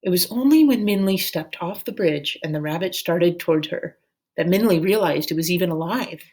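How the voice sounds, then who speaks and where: A woman reading a children's novel aloud as a storyteller, in a measured, deliberate way. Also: lowish voice, a little mellow.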